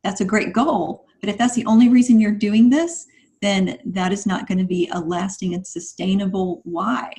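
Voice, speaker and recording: A woman's voice: mid-range voice, steady tone, normal speed, dark tone. A woman speaking as a podcast guest, giving conversational advice in an earnest, emphatic and encouraging way. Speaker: guest writer.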